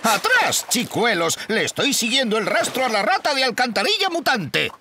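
An actor playing a pompous, eccentric old monster hunter in a cartoon, with a Castilian Spanish accent and theatrical delivery. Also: male voice, very high-pitched, huge pitch swings, normal speed, very noisy, exaggerated voice. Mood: determined. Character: pompous, eccentric old man hunting supernatural creatures. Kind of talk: animated cartoon dubbing.

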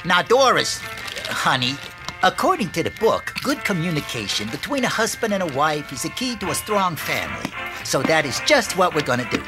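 Exasperated husband, swooping pitch. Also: male voice, big pitch swings, moderate pace, music in the background. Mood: bossy. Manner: bickering, slangy. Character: bossy, exasperated, uncouth husband. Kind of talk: comic audio drama.

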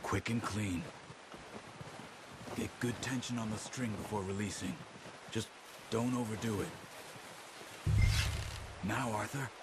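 An actor playing a calm, watchful outlaw hunter in a video game, speaking in a terse, instructive way. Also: male voice, lowish voice, takes it slowly, pauses often, heavy background noise. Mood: hushed, focused. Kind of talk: video game drama dialogue. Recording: game audio under loud music. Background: loud background music.

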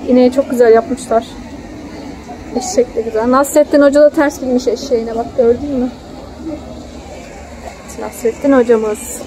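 A woman's voice: a little high, steady tone, normal speed, pauses often, poor audio. A woman, a mother chatting casually with a child and pointing things out on an outdoor family vlog. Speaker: mother filming a family outing. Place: outdoors in a theme park. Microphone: handheld phone. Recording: outdoor ambient noise on phone.

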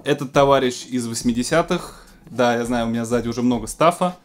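A man's voice: mid-range voice, brisk. A man in his thirties presenting music technology news in a casual, semi-scripted style from a home studio. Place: small home studio room with keyboards.

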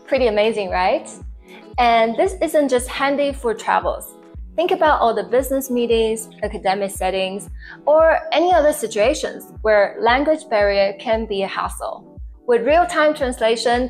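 A young woman hosting an upbeat explainer video, talking to camera. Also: fairly high pitch. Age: young adult. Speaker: tech tips video host. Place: living room, indoors.

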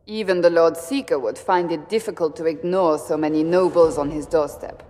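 An actress playing a serious, sceptical warrior advisor in a video game, grave and direct in manner. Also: female voice, deep voice, normal speed, a little mellow, long reverb tail, natural voice. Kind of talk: dramatic video game dialogue.

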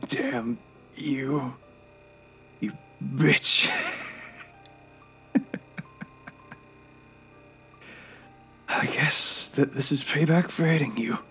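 A man playing a bitter, regretful, dying old grandfather in an audio drama, with a husky, breathy voice and halting delivery. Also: fairly high pitch, very animated, pushed and bright, full character voice. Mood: failing, pleading. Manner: slow, halting. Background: music.

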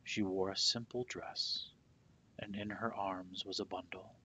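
A man tells a children's story in a low voice at a very slow pace. His phrasing is deliberate and dramatic, easing into soft endings. There is a slight rumbling noise in the recording. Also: little pitch movement, poor audio. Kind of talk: children's picture book read-aloud.

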